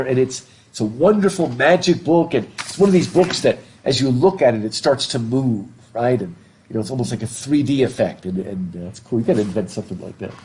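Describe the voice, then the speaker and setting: A middle-aged man lectures a classroom in front of a blackboard, his mellow voice thick from a stuffed up nose. He jokes, laughs and asks the students questions as he goes. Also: mid-range voice, a little hiss or hum, low-quality recording. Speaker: professor. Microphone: laptop webcam.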